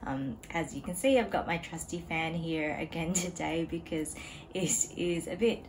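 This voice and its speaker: A woman chatting casually to camera as a knitting vlogger at home, relaxed and chatty with frequent ums and small laughs. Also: low voice, normal speed, a little hiss or hum, low-quality recording. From Australia. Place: home room beside a desk.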